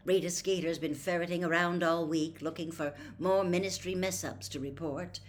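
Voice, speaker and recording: A woman's voice: on the low side, fairly even intonation, moderate pace, slight background noise, lightly acted. A woman reading aloud, voicing a tired middle-aged man who grumbles irritably. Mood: weary. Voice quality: weary, irritable.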